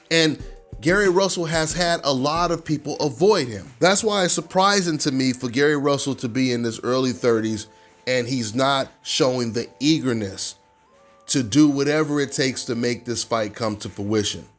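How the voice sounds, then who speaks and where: A man hosting a boxing news show, giving conversational commentary in an emphatic way, repeating points for stress. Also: medium pitch. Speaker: host of a boxing news channel.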